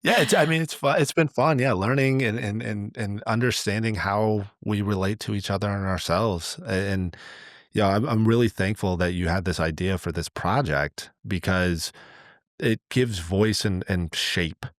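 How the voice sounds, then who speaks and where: A man talking casually as a podcast host, in a halting, earnest, rambling way as he thinks aloud. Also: fairly low pitch, dry recording, studio quality.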